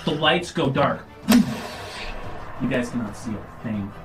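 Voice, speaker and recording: Male game master, average pitch, improvised descriptive storytelling, music clearly underneath. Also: expressive, slow. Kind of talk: improvised tabletop game narration.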